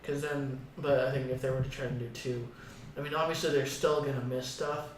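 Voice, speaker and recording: A man of medium pitch chats casually on a gaming podcast, thinking aloud haltingly. He sounds set back from the microphone in a very reverberant room, with faint ambient noise. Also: level tone, distant mic. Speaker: podcast co-host.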